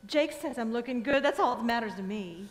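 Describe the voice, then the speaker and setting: Woman acting high-voiced troubled jazz singer onstage. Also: expressive, brisk, natural voice. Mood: restless, defiant. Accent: American. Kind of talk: staged reading of a music drama, sung and spoken. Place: performing arts theater stage. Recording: reverberant theater hall.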